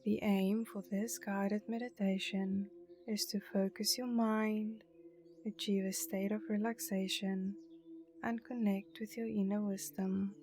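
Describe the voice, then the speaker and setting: Slow, mellow woman guiding a meditation. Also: mid-range voice, flat delivery. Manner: soothing, hushed, breathy phrase endings. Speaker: guided meditation narrator. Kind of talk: guided meditation, scripted narration. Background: meditation music.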